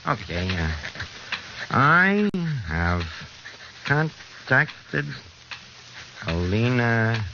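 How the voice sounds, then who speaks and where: An actor playing a wisecracking American small-time forger in an old radio drama, with slangy, hesitant, streetwise talk. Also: male voice, lowish voice, creaky, heavily acted. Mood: sly, casual. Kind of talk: 1940s radio drama acting. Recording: dull, noisy old radio recording.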